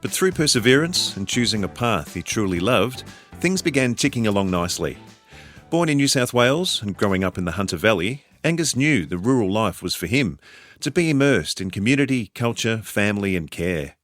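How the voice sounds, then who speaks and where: A man's voice: fairly low pitch, big pitch swings, a little fast, fairly bright tone, faint music behind. An Australian man hosting a podcast, reading a scripted introduction. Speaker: podcast host.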